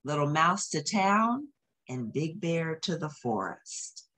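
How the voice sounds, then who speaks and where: A woman reads a children's picture book in a low voice with a lot of melodic movement. She takes her time and explains things simply for young listeners. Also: very animated, slow pace, fairly bright tone. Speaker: children's story reader.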